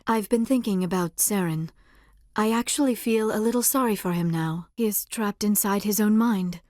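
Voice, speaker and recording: An actress plays a young alien woman scientist from a video game, earnest and gentle in temper. Her voice sits at a middling pitch, with formal, measured delivery and careful diction. The recording is dry and close, with no room sound. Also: no room sound, lightly acted. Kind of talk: scripted video game character dialogue.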